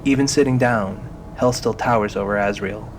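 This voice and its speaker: A man's voice: on the low side, moderate pace, background noise. A man reading scripted, dramatic narration for an audio drama. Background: music.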